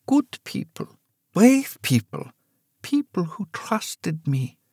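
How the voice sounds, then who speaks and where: A man voicing a sharp, guarded woman in her nineties who speaks quietly and steadily, in a storybook reading. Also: high-pitched, wildly swooping pitch, takes it slowly, slightly rough, no room sound, studio quality, voice pushed up, full character voice. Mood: hushed remorse. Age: 90s.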